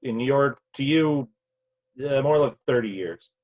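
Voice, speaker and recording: Male actor as grieving grown son from the future, stage table read, halting, expressive pitch swings, lo-fi video-call sound. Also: natural voice. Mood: grieving, urgent. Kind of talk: virtual table read of a play. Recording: dull, lo-fi online call audio.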